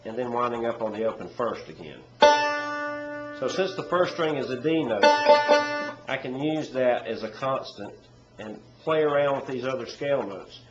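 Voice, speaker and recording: A man with a head cold and a stuffy nose, teaching banjo in a relaxed, rambling way with many pauses. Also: mid-range voice, over loud music. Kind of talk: casual instructional talk. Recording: echoey room with dull, lo-fi sound. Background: loud banjo playing.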